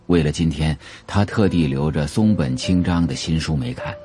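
Deep, mellow male drama narrator. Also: normal speed. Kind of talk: scripted audiobook-style drama narration. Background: faint music.

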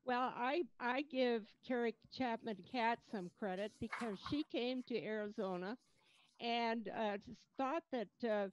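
A woman's voice: fairly high pitch, a little slow. A woman panelist speaks over a video call, thoughtful and admiring. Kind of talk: panel discussion answers. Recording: dull dry video call audio.

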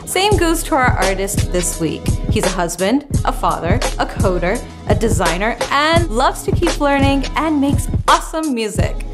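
High-pitched, lively woman co-host. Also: moderate pace, few pauses, loud music behind the voice. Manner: playful, expressive. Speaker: co-host of a music news show. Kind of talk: upbeat presenting and casual banter. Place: home music studio, indoors.

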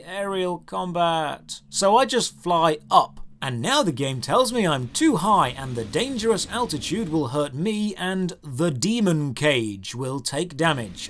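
A man's voice: a little high, a bit bright, a little hiss or hum. A man narrating a scripted video game review in a sarcastic, theatrically exasperated manner.

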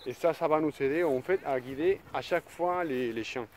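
A man's voice: fairly high pitch, a little fast. A man in his thirties, a dog trainer giving a casual spoken tutorial outdoors in a park, talking with many fillers and restarts into a phone held at arm's length. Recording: faint outdoor background noise.

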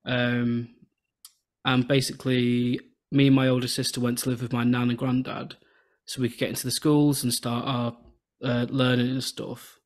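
An English man in his thirties from southern England, hosting a podcast over a video call with a soothing, relaxed cadence. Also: medium pitch, moderate pace. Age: 30s. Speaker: podcast host. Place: home room with shelves and lights. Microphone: podcast microphone on video call.

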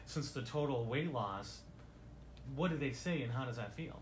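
A man's voice: medium pitch, expressive, moderate pace. Man co-hosting a radio interview. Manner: attentive, asks follow-ups. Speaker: radio co-host. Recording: echoey studio, light noise.

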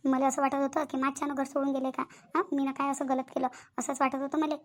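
Anxious village women of a cartoon family drama, acted by a woman, with a high-pitched voice and a Vidarbha Marathi accent. The delivery is quick, emphatic and fretful, circling back over the same words in homely, colloquial speech. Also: little pitch movement, natural voice. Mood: distressed.

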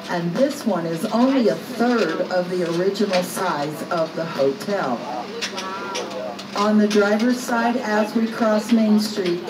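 A woman working as a tour guide, narrating a ride in an upbeat, jokey way and quizzing the riders. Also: mid-range voice. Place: open-air tram ride outdoors. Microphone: recorder's phone, guide some distance away. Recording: distant echoey voice over loud outdoor noise.

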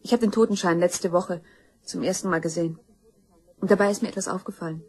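Grim, husky young woman seeking justice. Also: fairly low pitch, quick pace, darkish tone. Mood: grave, accusing. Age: young adult. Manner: accusing, insistent. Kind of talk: German crime radio play acting.

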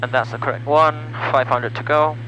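A man in a light aircraft cockpit, working through checks in a calm, even, methodical manner at a medium pitch. He speaks through a headset intercom. A loud engine drone sits behind his voice. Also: brisk, highs cut off, a little hiss or hum. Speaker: trainee pilot. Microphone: aviation headset.

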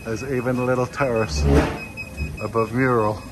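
A man's voice: mid-range voice, little pitch movement, normal speed. A man narrating a walking tour of a food court, exclaiming with enthusiasm over the dishes. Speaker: vlogger touring a food court. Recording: noisy outdoor street recording.

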